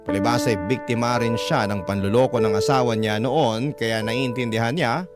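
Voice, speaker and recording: Male storyteller over loud music. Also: medium pitch, normal speed. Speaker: radio host narrating a letter sender's story. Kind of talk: dramatic scripted narration. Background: loud background music.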